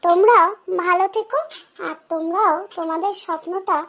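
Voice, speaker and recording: A woman's voice: extremely high pitch, normal speed, a bit bright. A woman voicing a plaintive young boy in a children's cartoon, speaking in a repetitive, childlike way. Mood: delighted. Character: whiny young boy who loves chocolate dreams.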